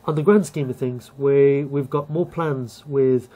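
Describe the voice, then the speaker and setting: A man in his thirties, a shop owner, calmly explaining his store during an on-site interview, with many fillers. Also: mid-range voice, unhurried, narrow, tinny audio. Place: shop and community hall, indoors.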